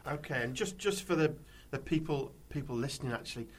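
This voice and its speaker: Male podcast host, mid pitch, easygoing questions. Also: animated, brisk. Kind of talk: casual podcast interview.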